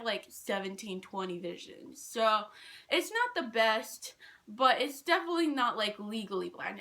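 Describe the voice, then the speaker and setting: A young woman telling personal stories in a casual, animated, rambling and self-deprecating way. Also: fairly high pitch. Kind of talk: casual storytime chat.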